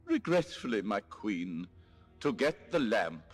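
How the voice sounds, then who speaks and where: A man playing an obsequious scheming villain adviser in a cartoon, speaking formally and deliberately. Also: fairly low pitch, sing-song, full character voice. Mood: deferential, scheming. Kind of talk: animated cartoon villain acting. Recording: music under the voice.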